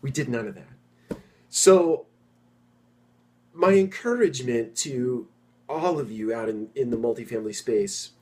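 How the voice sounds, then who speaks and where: A middle-aged man talking informally to his industry peers as an executive host, stressing points by repeating phrases and pausing mid-sentence. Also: fairly low pitch, a little mellow. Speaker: property management executive and host.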